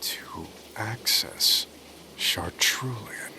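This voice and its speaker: An actor playing a wary, impatient propagandist in an audio drama, terse, skeptical and hushed. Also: male voice, lowish voice, extremely slow, vocal fry, a little hiss or hum, heavily acted, poor audio. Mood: suspicious. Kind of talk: scripted audio drama dialogue.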